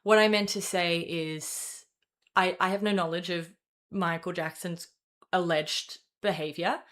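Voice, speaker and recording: A woman's voice: on the low side, fairly even intonation, a little slow, fairly bright tone. Rambling, swearing young woman commentator. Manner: rambling, swearing, self-correcting asides. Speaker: political commentator and host. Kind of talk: casual opinion commentary to camera.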